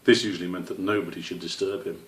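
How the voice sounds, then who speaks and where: A man's voice: low voice, fairly even intonation, fast talker. A man reading a children's story aloud as its narrator. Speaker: author reading own story. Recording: roomy, slightly distant microphone.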